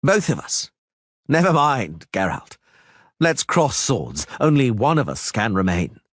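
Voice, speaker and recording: A man voicing a proud, tense sorcerer who speaks in sharp, agitated declarations. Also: medium pitch, sing-song, unhurried, no echo, clean studio sound, pitched above the performer's own voice. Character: male sorcerer, tense and proud, itching to duel. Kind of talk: audiobook character voice.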